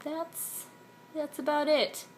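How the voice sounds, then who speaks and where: A woman's voice: high-pitched, steady tone, takes it slowly. A young American woman chatting informally and self-deprecatingly in a casual diary-style vlog. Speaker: vlogger; actress. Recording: clear background noise, room ambience.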